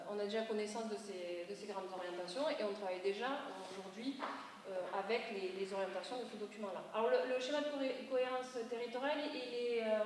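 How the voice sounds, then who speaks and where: A woman's voice: a little high, darkish tone. A woman giving an explanatory public presentation as an urban planning consultant, going step by step in a didactic way and correcting herself as she goes. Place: large reverberant meeting room, audience present. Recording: very echoey hall, distant mic, faint noise.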